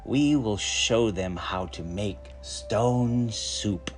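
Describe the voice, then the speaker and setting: A man voicing a gentle, wise traveling monk in a storybook, with slow, deliberate, kindly speech. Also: on the low side, soft background music, voice pushed down. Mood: calm, assured.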